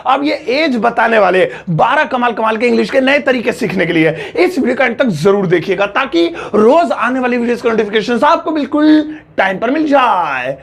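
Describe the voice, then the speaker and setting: High-voiced, gravelly, jokey Hindi teacher. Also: male voice, very animated, normal speed. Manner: jokey, teasing, laughs often. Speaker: English teacher. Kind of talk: playful Hindi English lesson.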